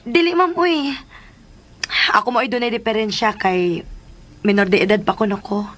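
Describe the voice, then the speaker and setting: A woman's voice: fairly high pitch, moderate pace, a bit bright. A woman acting a respectful seventeen-year-old girl eager to marry, in a Filipino radio drama with a Filipino accent. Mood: troubled. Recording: faint background noise.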